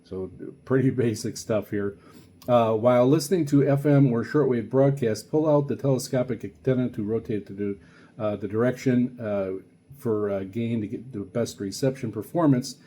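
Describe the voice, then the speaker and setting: A man hosting a casual product unboxing, reading aloud and commenting in a halting way with frequent uhs and pauses. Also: mid-range voice, mellow, slight background noise. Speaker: radio hobbyist reviewer, video host.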